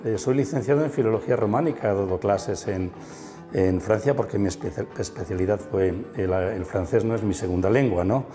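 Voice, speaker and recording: A man's voice: on the low side, fairly quick, dark tone, long reverb tail, soft background music. A middle-aged man guiding an interview through a museum, enthusiastic and full of fillers and tag questions. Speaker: musician and museum guide. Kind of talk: explanatory interview chat. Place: museum room.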